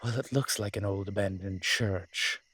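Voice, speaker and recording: A man playing a wry, flirtatious immortal incubus demon in a roleplay audio drama, with a husky voice and a dry, teasing, conversational manner. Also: fairly low pitch, steady tone, moderate pace, a bit bright. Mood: wry, stalling.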